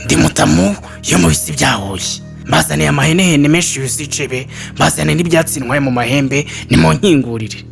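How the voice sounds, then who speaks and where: A man's voice: fairly high pitch, brisk, gravelly, soft background music, pitched above the performer's own voice. Man doing a sly, deceitful storybook hyena. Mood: coaxing. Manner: coaxing, deceitful. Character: sly hyena posing as a mother goat.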